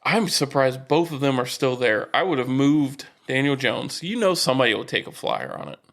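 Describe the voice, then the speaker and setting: Matter-of-fact man with a mid-pitched voice. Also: expressive, moderate pace, fairly bright tone. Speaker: sports podcast co-host. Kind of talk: casual sports banter between friends.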